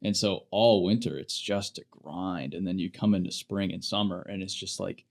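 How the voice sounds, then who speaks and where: A man with a deep, low voice hosts a casual podcast conversation. His talk is loose and chatty, full of quick, self-deprecating asides. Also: creaky. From Phoenix, Arizona. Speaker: podcast host. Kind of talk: casual chat.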